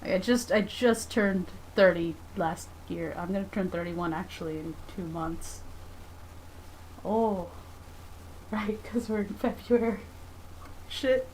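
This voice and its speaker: A woman's voice: mid-range voice, slow pace, long pauses, a little mellow, slight background noise, poor audio. A woman in her thirties chatting casually on a livestream from her home drawing desk, rambling and self-deprecating. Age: 30s. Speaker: comic artist streamer.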